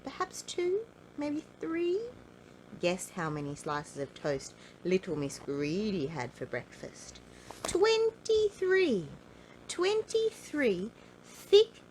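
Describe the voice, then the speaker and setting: A woman reading a children's storybook in an animated, playful way, drawing out key words for emphasis. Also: mid-range voice, slight background noise, poor audio. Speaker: storybook reader.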